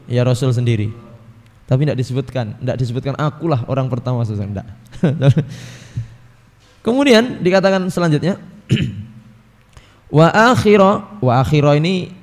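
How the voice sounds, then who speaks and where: A man with a Javanese accent giving a religious study lecture, reading Arabic text and explaining it in a relaxed, chatty way to his audience, often clearing his throat. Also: medium pitch, moderate pace, long pauses, in a large hall. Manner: mixes Arabic recitation with casual explanation, addresses audience as Bapak Ibu. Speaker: ustadz teaching a hadith book.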